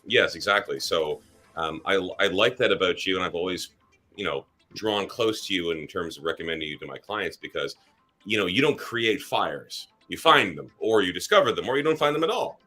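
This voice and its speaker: Deep, bright-voiced realtor man. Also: expressive, moderate pace, faint music behind. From Germany. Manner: chatty, rambling with fillers. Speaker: realtor hosting a live stream. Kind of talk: casual livestream chat.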